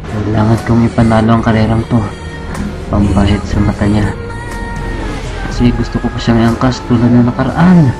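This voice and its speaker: A man voicing a cheeky, excitable young suitor with a Filipino accent in a comic amateur dub, teasing and exclaiming. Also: mid-range voice, normal speed, pauses often, close to an everyday voice. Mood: wistful. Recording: music behind the voice.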